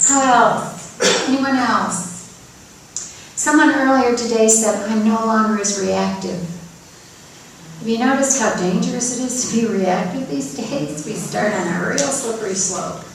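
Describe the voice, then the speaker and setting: A woman's voice: a little high, measured pace, a little hiss or hum. A woman spiritual teacher sharing a message with a congregation, encouraging and calling people by name. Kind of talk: sermon talk. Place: church sanctuary. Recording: echoey hall, voice from far off, faint ambience.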